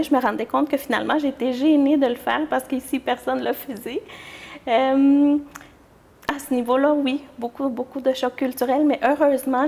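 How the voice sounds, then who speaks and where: A young woman with a fairly high voice. She answers as an interview guest in an informal way, often hesitating as she looks for her words. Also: gravelly, darkish tone, husky. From Mexico. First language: Spanish. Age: young adult. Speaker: interview guest, church youth group leader.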